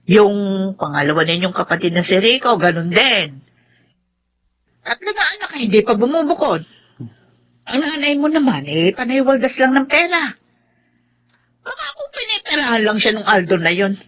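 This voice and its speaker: Emphatic, husky older Filipina aunt. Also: female voice, mid-range voice, a bit bright. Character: concerned older Filipina aunt giving frank advice. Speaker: drama actor. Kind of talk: Filipino radio drama acting.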